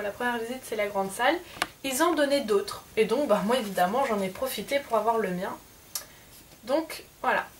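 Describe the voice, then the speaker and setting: A woman's voice: fairly high pitch, recorded from a distance, a little hiss or hum. A woman casually showing souvenirs to her viewers, hesitating often with fillers and correcting herself mid-sentence. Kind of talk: casual show-and-tell.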